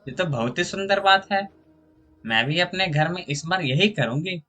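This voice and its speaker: A man's voice: sits high, expressive, normal speed, sharp tone, pitched above the performer's own voice. A man voicing an amazed, admiring village neighbour woman in a moral story. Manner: surprised, admiring.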